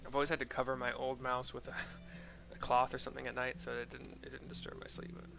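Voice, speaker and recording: A man giving casual, rambling gameplay commentary and narration in an informal way, with a gravelly voice. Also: medium pitch, monotone, fast. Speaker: game streamer reading quest dialogue aloud. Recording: game music behind voice.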